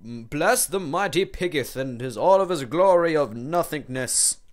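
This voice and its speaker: A man's voice: fairly high pitch, lively intonation, a little slow. A teenage boy giving casual, rambling commentary over a screen recording, muttering and mocking himself as he types. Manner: rambling, self-mocking, muttering while typing. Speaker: video maker.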